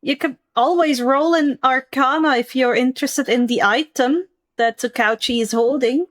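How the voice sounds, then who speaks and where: A woman narrating a tabletop roleplaying game as host and game master, in a deliberate, drawn-out way. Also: high voice, fairly bright tone.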